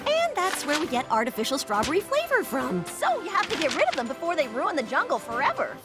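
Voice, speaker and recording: Cartoon know-it-all girl, high voice, swooping delivery, cocky, bossy, loud music behind. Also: wildly swooping pitch, quick pace. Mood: lecturing. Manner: cocky, emphatic, lecturing. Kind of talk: cartoon voice acting.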